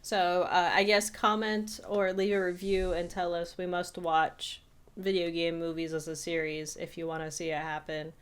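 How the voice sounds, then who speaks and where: A woman's voice: on the low side, flat delivery, moderate pace, smooth. A woman co-hosting a podcast, talking in a casual, conversational style. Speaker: podcast co-host. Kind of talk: casual chat.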